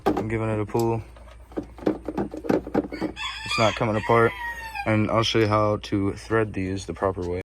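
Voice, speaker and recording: Ambient noise sits clearly behind this phone recording of a man with a lowish voice. He gives a casual, hands-on tutorial. His delivery is informal and rambling, with a bit of swearing. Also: level tone, measured pace, pauses often. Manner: rambling, informal, mildly profane. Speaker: do-it-yourself video presenter. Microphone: phone camera held while working.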